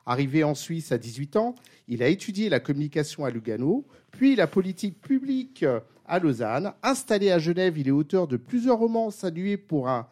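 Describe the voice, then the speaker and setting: A man's voice: a little high, a little mellow. A man hosting an interview, reading his introduction and then asking questions with fillers. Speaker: host.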